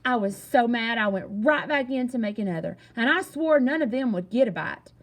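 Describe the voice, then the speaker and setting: A woman reading a children's story aloud in an animated, expressive way with emphatic delivery. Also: fairly high pitch, normal speed. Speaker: storybook reader.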